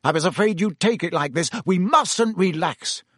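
A reader puts on an intense teenage captain, lifted in pitch and pushed harder in volume. He sounds insistent and keyed up, each line pressed with urgency, close and dry on the mic. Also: animated, moderate pace, fairly bright tone, dry recording. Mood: urgent, intense. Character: teenage schoolboy team captain, intense and anxious.

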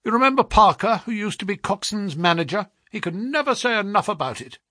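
A man voicing a brisk, sharp-talking middle-aged male financier in a read-aloud story, speaking eagerly and quickly. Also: high voice, expressive, no room sound, clean studio sound, voice pushed up, exaggerated voice.

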